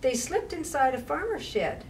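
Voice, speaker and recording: A woman reading to young children as a library storytime reader, with measured, expressive phrasing and casual asides. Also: sits high. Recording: distant mic with faint background noise.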